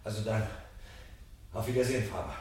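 An actor playing a weary but determined fugitive fireman with halting, understated delivery in a 1970s German radio drama. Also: fairly low pitch, fairly even intonation, natural voice. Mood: subdued. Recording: roomy, distant, faintly noisy vintage recording.

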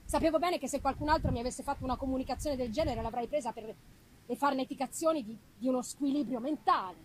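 A woman performing an anguished, haunted young male scientist in a dramatic, halting gothic literary reading, emphasizing and repeating words. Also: high-pitched, low-quality recording. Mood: grave, haunted.

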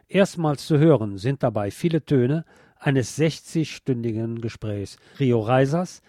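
A man's voice: medium pitch, dark tone, no room sound. A man hosting a radio show, presenting informally in a conversational, recommending way on a close microphone.

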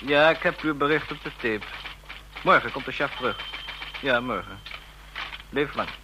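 An actor playing an ambitious, scheming deputy intelligence officer in a radio play, talking in brisk, fragmented phrases. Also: male voice, mid-range voice, background noise, close to an everyday voice. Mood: brisk, self-satisfied. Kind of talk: radio drama dialogue.

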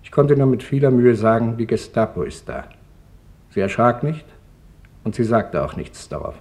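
An actor plays a male office manager with a medium-pitched voice. He recounts his wartime arrest gravely and in orderly steps, like testimony in a radio drama. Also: very animated, normal speed, a little mellow, natural voice. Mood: grave, restrained. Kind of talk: German radio drama witness monologue.

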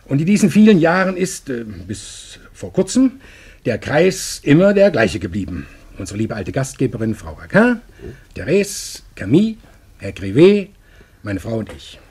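An actor playing a talkative middle-aged police official in a German radio play, speechifying and explaining patiently. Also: male voice, medium pitch, very animated, normal speed, a little mellow, slightly rough. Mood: solemn, convivial.

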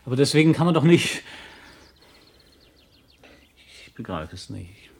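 A man's voice: medium pitch, sing-song, fast talker, vocal fry, a little mellow, a little hiss or hum. An actor playing a restless, troubled young man, a grown son, terse and brooding in a naturalistic radio drama. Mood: incredulous, upset. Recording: echoey, reverberant radio drama recording.

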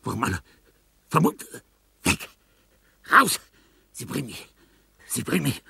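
An actor playing a dying, lonely old artist in a radio play, delirious and fearful, in a husky, breathy voice that speaks in halting fragments. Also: male voice, mid-range voice, wildly swooping pitch, bright, forceful tone, dry recording, full character voice. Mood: panicked. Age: older. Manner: halting fragments, whispers rising to frantic cries. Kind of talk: German radio play acting.

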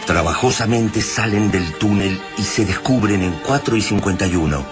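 An Argentine man narrates a radio drama in a low voice. His delivery is measured and literary, with clear music running behind him.

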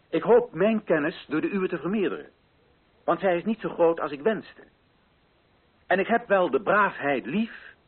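A solemn, idealistic colonial official in a radio play, orates formally. His delivery is declamatory and sweeps widely in pitch. The voice is husky, on a dull, band-limited recording. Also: full character voice. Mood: solemn greeting. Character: idealistic, solemn male colonial official addressing native chiefs. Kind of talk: radio drama speech.

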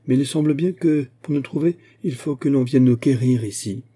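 A fearless old soldier of the musketeers, played by a male reader. He is blunt and full of dignity. The storybook voice is very dark, with a husky grain. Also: mid-range voice, steady tone, fairly quick. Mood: dignified.

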